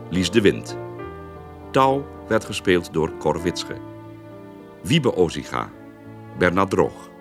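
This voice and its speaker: Male announcer, low voice, unhurried formal delivery, lively intonation, scripted credits, music behind. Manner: measured, formal. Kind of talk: scripted radio credits reading.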